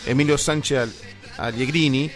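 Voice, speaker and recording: A man's voice: medium pitch, moderate pace. A man hosting a casual radio chat, agreeably chiming in. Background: music, guitar.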